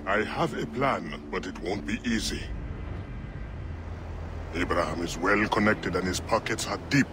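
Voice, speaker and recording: Assassin leader, very low voice, solemn, resolute, game dialogue, clear ambient noise behind. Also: male voice, unhurried. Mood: grave, resolute. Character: grave, resolute male assassin leader planning revenge. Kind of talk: video game drama acting.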